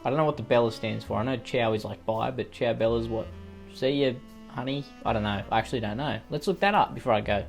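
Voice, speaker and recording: A man's voice: medium pitch, quick pace, vocal fry, faint music behind. A man with an Australian accent reading out online posts and riffing on them with profane, sarcastic, rambling comedic asides. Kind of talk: comedic commentary on read-out posts.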